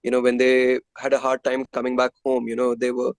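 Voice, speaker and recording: A man's voice: mid-range voice, completely flat delivery, fairly quick, no room sound, low-quality recording. Candid Indian man, close dry sound. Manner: emphatic, leans on filler phrases like "you know". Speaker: musician, interview guest. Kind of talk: candid conversational interview.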